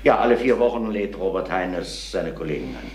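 An actor playing a calm, fatherly middle-aged police inspector in a radio crime play, measured and reassuring. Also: low-pitched, roomy sound, a little hiss or hum. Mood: measured, probing. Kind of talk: German radio crime drama acting.

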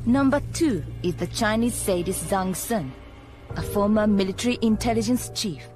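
An actress playing a cool, wry young secret agent, giving a measured briefing in a video game. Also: female voice, medium pitch, animated, lightly acted. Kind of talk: video game cutscene acting. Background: music.

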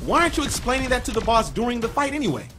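An actor playing a cocky, wisecracking gangster sidekick in a video game, forever complaining. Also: male voice, very high-pitched, big pitch swings, rapid-fire, background noise. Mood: teasing. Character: cocky, griping male street gangster sidekick. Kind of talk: video game dialogue acting.

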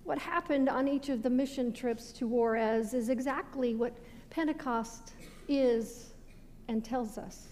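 A middle-aged American woman preaches from the pulpit in a high voice. She phrases deliberately, letting the ends of sentences drop away. The sanctuary adds a long reverb, with faint noise underneath. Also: darkish tone. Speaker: pastor. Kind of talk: sermon. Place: indoor stone-walled church sanctuary at a pulpit. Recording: reverberant church hall with background noise.

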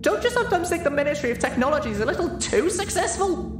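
A woman's voice: sits high, very animated, quick pace, music in the background. A woman voicing a brash, indignant female pegasus pony in an audiobook story. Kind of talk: audiobook character dialogue. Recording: roomy, distant microphone.

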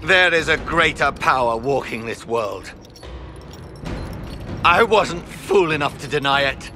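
An actor playing a disgraced knight captain on trial in a fantasy game, strained, indignant and self-justifying. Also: male voice, fairly high pitch, expressive, unhurried, pushed and bright, a little hiss or hum, full character voice. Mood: defiant, desperate. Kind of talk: dramatic fantasy game acting.

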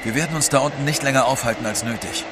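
A man's voice: mid-range voice, fast talker, lightly acted. Man as a sober, watchful polar explorer. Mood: grave. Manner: terse, serious. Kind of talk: audio drama acting. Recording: clear outdoor background noise.

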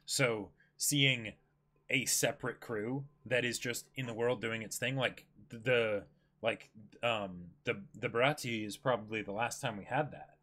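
A young man co-hosting a livestream in casual discussion, thinking aloud in halting, broken phrases. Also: mid-range voice, fairly even intonation, fairly bright tone. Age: young adult. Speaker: co-host. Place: small indoor room, seated.

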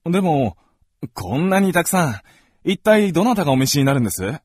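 A man playing a gentlemanly visitor who narrates his recollections in a literary radio drama. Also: on the high side, big pitch swings, a little fast, dry recording, studio quality. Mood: puzzled.

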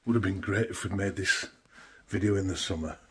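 A man's voice: low voice, a little fast, husky. A middle-aged man, chatty and matter-of-fact, walking through paperwork in a casual home vlog, a little throaty from a chest infection. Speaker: van life vlogger. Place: home kitchen indoors.

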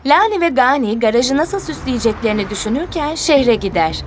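High-pitched woman narrating a children's story. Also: expressive, fairly quick. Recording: music behind the voice.

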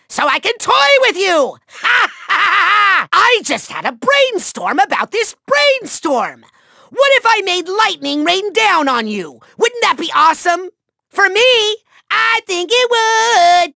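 A male actor voicing a pompous, childish little evil overlord in a video game, shrill and gravelly, grandiose, gloating and whiny. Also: pushed and bright, no echo, studio quality, full character voice. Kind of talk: theatrical video game villain acting.

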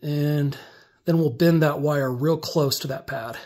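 A man's voice: on the high side, a little slow. Man explaining a hands-on build. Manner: relaxed step-by-step explaining while working. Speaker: DIY tutorial maker. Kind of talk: casual hands-on tutorial. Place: indoor workbench.

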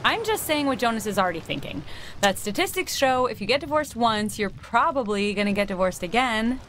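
A woman's voice: a little high, lively intonation, fairly quick, fairly bright tone, slight background noise. A young woman playing a sarcastic, combative teenage girl in a naturalistic video game, dry and goading. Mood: needling. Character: snide, combative teenage girl, cool and needling.

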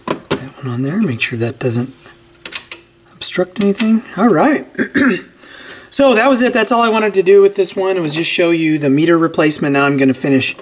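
A man's voice: a little high, normal speed. A man giving a casual hands-on repair walkthrough, informally thinking aloud as he works. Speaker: host of a repair channel. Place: indoors at a cluttered workbench. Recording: steady clear background noise with clicks.

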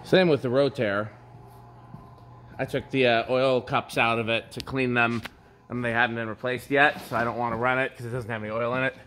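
A man's voice: medium pitch, flat delivery, a little fast, fairly bright tone. Casual, explanatory man with background noise. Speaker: tour guide showing his fan collection. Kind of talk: casual unscripted chat. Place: inside a wooden barn. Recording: handheld recording with background noise.

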